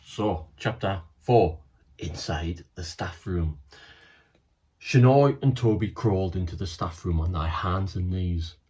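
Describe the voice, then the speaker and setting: A man reading his own children's book aloud, lively and animated, with asides to listeners. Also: sits low, takes it slowly.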